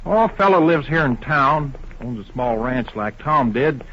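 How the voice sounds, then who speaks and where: Bright, excitable cowboy sidekick. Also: male voice, medium pitch, unhurried. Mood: earnest. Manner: folksy, exclamatory country talk. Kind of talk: 1950s radio western acting. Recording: dull, noisy old radio recording.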